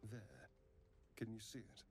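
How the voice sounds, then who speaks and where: A man's voice: unhurried, darkish tone, faint music behind, natural voice. An actor playing an urgent, wheedling young male stranger in a fantasy video game, with a pressing, insistent manner. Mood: urgent, coaxing. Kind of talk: video game character acting.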